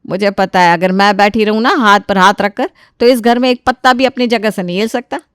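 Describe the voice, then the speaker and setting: A woman's voice: mid-range voice, steady tone, fairly quick, smooth, dry recording, studio quality, close to an everyday voice. A woman voicing a bossy, scolding, self-important mother-in-law in her sixties for an audio family story. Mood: indignant. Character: bossy nagging old mother-in-law, aged sixty-five.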